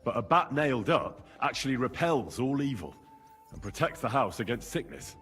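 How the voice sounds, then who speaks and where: An actor playing an earnest young commoner adventurer in a video game, plain-spoken, polite and friendly. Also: male voice, medium pitch, animated, normal speed, fairly bright tone, close to an everyday voice. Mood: earnest admiring. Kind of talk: video game dialogue acting. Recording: long reverb, faint game music behind.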